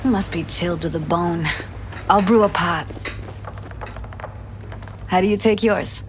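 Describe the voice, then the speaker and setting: Game techie, young woman actress: lowish voice, clipped slangy delivery, tough but caring, loud background sound. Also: a little slow, slight background noise, natural voice. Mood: tired, caring. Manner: casual, clipped, slangy phrasing. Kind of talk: video game voice acting.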